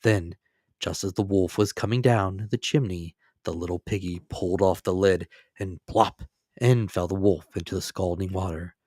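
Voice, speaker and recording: A man narrating a fairy tale as a storyteller, with dramatic, expressive and deliberate scripted delivery. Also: low-pitched, dry recording, studio quality.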